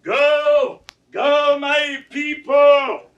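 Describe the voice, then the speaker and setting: An actor playing a proud, raging old king in a classical 1960s Shakespeare audio drama, grand and declamatory, swinging from hushed to roaring. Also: very high voice, steady tone, very slow, recorded from a distance, lo-fi sound, heavily acted. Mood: furious, cursing. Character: aged king, proud, raging at his daughter.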